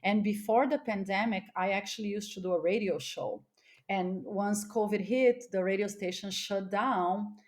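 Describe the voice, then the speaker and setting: A woman's voice: mid-range voice, fairly even intonation, moderate pace, a little mellow. A middle-aged woman from Los Angeles chatting as a podcast guest, candid, informal and emphatic. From Los Angeles, United States. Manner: emphatic, informal with frequent "like" and "you know". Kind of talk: casual, candid chat.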